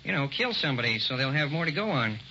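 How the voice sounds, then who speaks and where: A man's voice: medium pitch, very animated, fast, bright, forceful tone. An actor playing a meek, matter-of-fact little man with ominous news, hesitant, in old-time radio horror. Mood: cautious. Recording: noisy muffled vintage radio recording.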